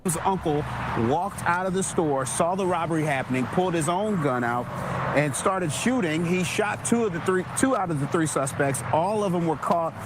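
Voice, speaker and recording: A man gives a live TV news report outside a store. Traffic and street noise are clearly heard behind him, and his voice sits slightly high. His delivery is chatty but still newscast-style. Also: animated, moderate pace. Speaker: TV field reporter. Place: outdoors by a store parking lot.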